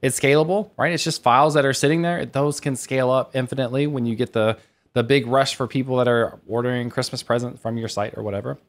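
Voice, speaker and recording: A man's voice: medium pitch, steady tone, fast. A man in his thirties presenting a technical talk to developers in an enthusiastic, conversational way, like a teacher explaining concepts. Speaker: conference speaker and developer educator.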